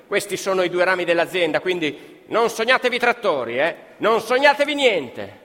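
An actor playing a stern, dismissive factory manager in a radio play, emphatic, curt and scornful. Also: male voice, sits high, very animated, normal speed, a bit bright. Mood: scornful, commanding. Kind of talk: theatrical radio play acting. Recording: reverberant hall with live music.

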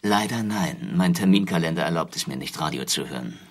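An actor playing a stern state prosecutor in a radio drama, speaking in formal, officious, measured legal phrases. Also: male voice, very deep voice, expressive, heavily acted. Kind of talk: radio drama acting as talk show guest.

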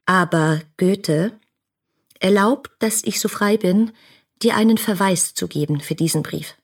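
Lovesick headstrong girl in a radio drama, lowish breathy female voice, impulsive and intense. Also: a little slow. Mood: indignant, hurt. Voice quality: husky, breathy. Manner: ardent, intimate, impulsive. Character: passionate, headstrong, lovestruck young woman writing letters. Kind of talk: dramatized letter reading in a radio play.

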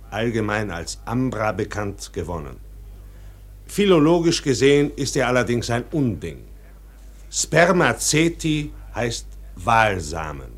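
An actor playing a learned, pedantic man reciting a grand treatise in a radio play, declamatory and precise. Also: medium pitch. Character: learned, pedantic male lecturer reading a grand whale treatise.

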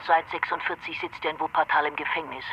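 A woman's voice: deep voice, fairly even intonation, quick pace, pushed and bright. Female Nazi-hunting officer for radio play, grave and unyielding, through a muffled telephone with slight noise. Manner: grave, resolute. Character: determined female British intelligence officer hunting war criminals. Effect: telephone filter. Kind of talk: radio drama acting. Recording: dull noisy telephone line.

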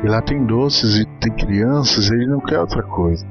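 A man's voice: mid-range voice, moderate pace, lightly acted. Young boy in a literary audio drama, played by a man: naive, earnest, pensive. Lo-fi sound, music bed. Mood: hopeful, curious. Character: earnest, trusting little boy devoted to his dog. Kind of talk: dramatic reading of a short story. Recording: dull lo-fi audio with music underneath.

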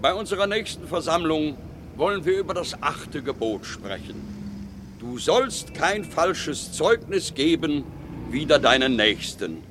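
Slow, solemn, higher-voiced preacher. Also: male voice, sing-song, noisy background. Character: solemn male preacher addressing his congregation. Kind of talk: German radio drama acting.

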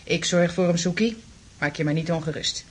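A woman's voice: on the low side, brisk, slightly rough. An actress in a radio drama playing a kindly, fussing, chatty landlady. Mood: cheerful, reassuring.

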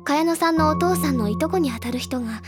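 High, girlish schoolgirl storyteller, played by a woman. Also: fast talker, close to an everyday voice. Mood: earnest storytelling. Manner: chatty, expressive storytelling. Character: bubbly, earnest teenage literary schoolgirl upperclassman. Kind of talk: Japanese audio drama acting. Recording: background music under the voice.

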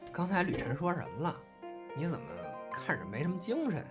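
A man's voice: a little high, sing-song, brisk. A man playing a shy, earnest young performer in an audio drama, speaking hesitantly with feeling. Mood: shy, concerned. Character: shy, earnest young male comedy performer, anxious in love. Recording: music behind the voice.

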